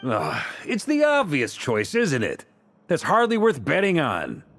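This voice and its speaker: An actor playing a seasoned, easygoing leader of fighters in a video game, casual and good-natured. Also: male voice, on the high side, huge pitch swings, measured pace, a bit bright, heavily acted. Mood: exasperated. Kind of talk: video game English dub acting.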